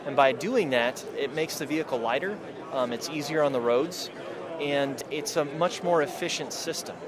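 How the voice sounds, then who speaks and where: A man's voice: on the high side, moderate pace. Man explaining tech amid crowd chatter. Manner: explaining with fillers. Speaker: company staff. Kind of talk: explanatory interview. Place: busy trade show hall.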